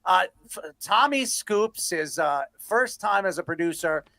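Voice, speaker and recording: A man's voice: high-pitched, animated, unhurried, sharp tone. A man hosting a sports talk show with casual, rambling banter, often repeating himself. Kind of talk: casual banter and sign-offs.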